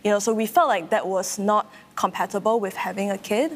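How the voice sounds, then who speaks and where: A woman speaks as a guest about her own experience. Her mid-pitched voice rings with long hall reverb. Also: brisk. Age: 30s. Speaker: panel guest. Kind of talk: personal account in discussion.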